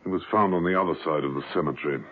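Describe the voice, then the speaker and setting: An actor playing a suave, calm male secret agent with a wry manner in a 1970s radio drama, on tinny old radio tape. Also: low-pitched, racing, breathy edge.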